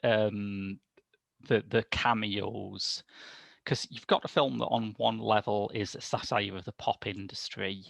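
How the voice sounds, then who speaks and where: A man's voice: lowish voice, steady tone, measured pace, gravelly, a bit bright, dry recording. A man in his thirties casually discussing films as a podcast reviewer, on a headset video call. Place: small domestic room.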